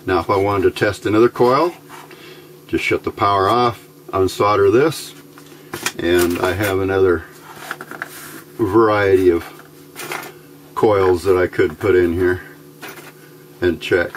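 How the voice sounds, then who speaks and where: Low-pitched man, unhurried and halting. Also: steady tone, a little hiss or hum. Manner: unhurried, halting, thinking aloud. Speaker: electronics hobbyist host. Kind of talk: casual hands-on tutorial narration. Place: indoor workbench, hands filmed from overhead.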